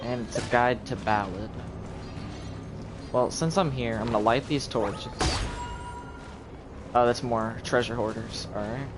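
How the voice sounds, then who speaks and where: A man with a mid-pitched voice gives casual, low-key commentary on a game he is playing live. He thinks aloud as he goes, with game music playing clearly behind him. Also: unhurried, long pauses. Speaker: game streamer. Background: video game music and effects.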